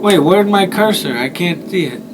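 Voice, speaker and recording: A man's voice: sits high, lively intonation, moderate pace, very noisy. A man hosting a horror game video with informal, rambling commentary, swearing freely. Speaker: gaming video host.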